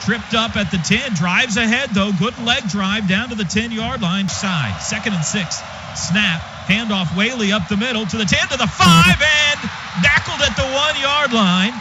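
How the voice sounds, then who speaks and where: American male football announcer, high voice, clipped excitable radio calls, lo-fi sound under heavy noise. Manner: clipped, rapid-fire phrases; excitable, voice rising on big plays. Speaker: play-by-play announcer. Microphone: broadcast booth microphone. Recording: dull lo-fi broadcast, loud stadium noise.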